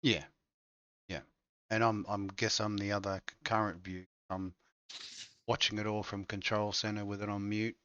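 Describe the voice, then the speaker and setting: A man's voice: lowish voice, flat delivery, moderate pace, pauses often, a bit bright, smooth, dry recording, lo-fi sound. A man co-hosting a casual, absurd chat show, joking dryly with mock-dramatic asides. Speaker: co-host. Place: home setup, streamed show.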